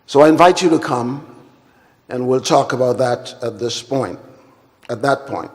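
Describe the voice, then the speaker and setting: A man from Guyana, giving a formal and deliberate plenary address at a conference. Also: medium pitch. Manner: measured, deliberate and formal, with long pauses. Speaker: conference speaker. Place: large conference hall. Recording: hall reverb.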